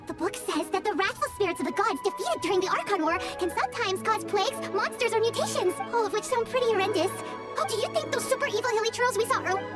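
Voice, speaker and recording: A tiny childlike fairy companion from a video game. The voice is squeaky and sky-high, swooping with perky excitement and rattling along quickly over loud music. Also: female voice. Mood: curious, explaining. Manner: perky, speaks of self in third person. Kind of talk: video game character acting.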